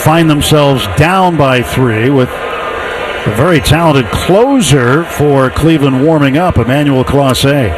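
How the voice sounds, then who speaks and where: A man doing live baseball play-by-play for radio, with measured phrasing, pauses and clipped stat recaps. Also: medium pitch, noisy background. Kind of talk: live radio sports commentary.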